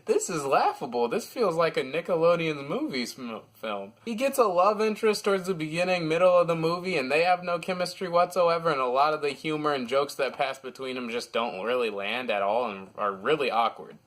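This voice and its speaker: A man's voice: fairly high pitch, brisk, hardly any pauses, a bit bright. A man hosting a casual, partly scripted movie review, talking informally and with plenty of opinion and slang.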